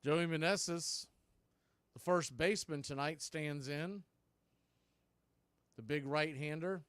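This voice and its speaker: Male play-by-play host, fairly high voice, smooth and dry, conversational fan commentary. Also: takes it slowly, fairly bright tone, no room sound.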